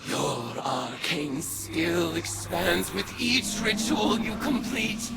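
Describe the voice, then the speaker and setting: Slow, gravelly vengeful witch-god. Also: fairly low pitch, big pitch swings, over loud music, heavily acted. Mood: solemn, commanding. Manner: slow, incantatory, archaic diction. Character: woman turned Hive witch-god, solemn, vengeful, ritualistic. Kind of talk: theatrical game dialogue.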